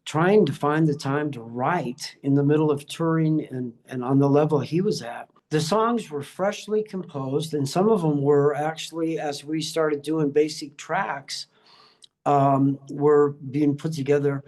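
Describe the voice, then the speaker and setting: Older man, slightly high-pitched, reminiscing earnestly. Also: a little slow, darkish tone. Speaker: musician being interviewed. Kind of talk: casual reminiscing in a group interview.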